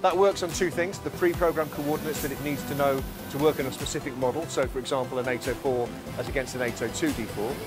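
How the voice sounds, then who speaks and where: A man in his forties leading a tour of a large factory floor, explaining each production step in a calm, clear way. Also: medium pitch, fast talker, few pauses. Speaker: factory guide. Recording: music bed under voice.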